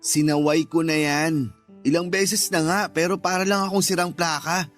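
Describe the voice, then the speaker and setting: A man's voice: on the high side, fairly even intonation, moderate pace, soft background music, lightly acted. A man playing a callous, irritable husband in a Tagalog radio drama, curt and dismissive. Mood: defensive, irritated. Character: callous, irritable husband who resents his stepchild.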